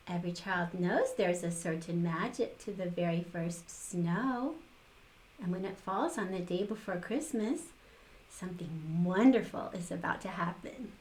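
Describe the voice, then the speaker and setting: A woman's voice: medium pitch, rough recording. An older woman reading a picture book aloud to children, expressively, with little exclaimed asides. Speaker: storytime reader for children.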